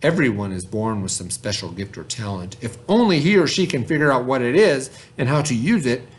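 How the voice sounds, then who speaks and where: A man's voice: mid-range voice, very animated, fairly quick, voice pushed down, natural voice. A man reading aloud as a stern Greek goddess in a children's myth. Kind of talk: children's read-aloud storytelling.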